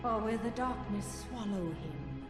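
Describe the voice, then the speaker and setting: A woman performs an imperious video game sorceress, menacing and coldly calculating. Her ominous lines unfold slowly with theatrical swoops of pitch. They ring through a long echo with music beneath. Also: lively intonation, natural voice. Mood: coldly musing. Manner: slow, theatrical, ominous delivery. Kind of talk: video game cutscene acting. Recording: echoing hall reverb under loud music.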